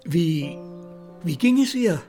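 Slow, guilt-ridden old man. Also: a little high, lively intonation, mellow. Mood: uneasy. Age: older. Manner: halting, evasive, with hesitations. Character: guilt-ridden old Swiss folk music star, evasive. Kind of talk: radio drama acting. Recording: music behind the voice.

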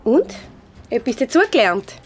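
A woman's voice: fairly high pitch, big pitch swings, measured pace, slight background noise. A woman with a Swiss German accent playing a dry, sardonic biology teacher in a radio play. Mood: teasing. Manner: teasing, dry.

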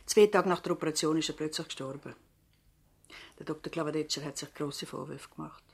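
Lowish-voiced, plain-spoken doctor's housekeeper. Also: female voice, steady tone, a little fast, gravelly, husky, heavily acted. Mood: conversational. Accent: Swiss German. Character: long-serving female practice assistant and housekeeper. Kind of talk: radio drama acting, conversational.

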